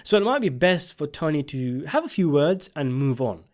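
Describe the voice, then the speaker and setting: A man's voice: fairly high pitch, sing-song, normal speed, a little mellow. A man delivering scripted video essay commentary on a TV series, in an emphatic, opinionated way. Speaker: video essayist and channel host.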